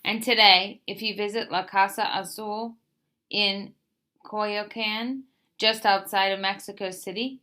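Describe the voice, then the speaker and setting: A woman's voice: mid-range voice, steady tone, unhurried, pushed and bright. A woman reads a children's picture book aloud as a storyteller, expressively, lifting the openings of phrases and settling at sentence ends.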